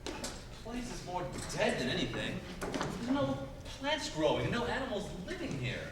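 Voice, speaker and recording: A man's voice: high-pitched, very animated, normal speed. A man playing an earnest, uneasy sculptor and sweetheart in a stage play, speaking hesitantly with a testy edge. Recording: very echoey, noisy stage recording from far off.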